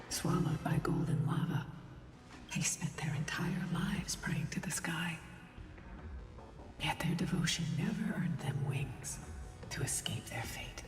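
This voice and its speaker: A performer voicing a solemn otherworldly divine being in a game cutscene, with breathy, portentous recitation. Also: mid-range voice, close to an everyday voice. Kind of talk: scripted game cutscene narration. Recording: cavernous distant echo, music behind.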